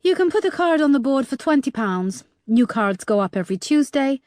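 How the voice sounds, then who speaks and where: A woman reading aloud, voicing a wary young barmaid in a higher, louder voice with a hint of an accent. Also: very animated, a little fast, no echo, studio quality. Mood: flat.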